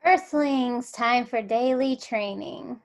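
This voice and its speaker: Woman putting on bossy alien drill boss, highish, impatient, cuts people off bluntly. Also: takes it slowly. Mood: commanding. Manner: blunt, commanding. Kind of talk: table read of a comedy script.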